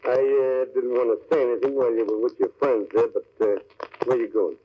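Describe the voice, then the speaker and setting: An actor playing a furtive, nosy male racetrack tout in an old radio comedy, giving confidential, deadpan, slangy tips. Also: mid-range voice, fairly quick, natural voice. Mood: sly, confidential. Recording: muffled, faintly noisy old radio broadcast.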